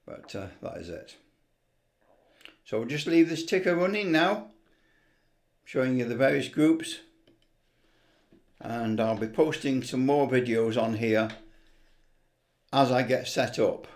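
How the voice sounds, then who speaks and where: A man's voice: mid-range voice, animated, slow pace, pauses often, a little mellow. A man giving a casual, unscripted screen tutorial, rambling a little and muttering to himself as he works. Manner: unscripted, rambling, talks to himself while working. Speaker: amateur video presenter, CB radio hobbyist.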